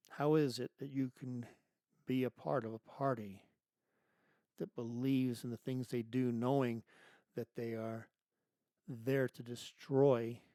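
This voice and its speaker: A man hosting his own show, delivering an emphatic political monologue full of rhetorical questions and restarts, his voice going hoarse. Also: mid-range voice, dark tone, dry recording, studio quality. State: losing his voice. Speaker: podcast host.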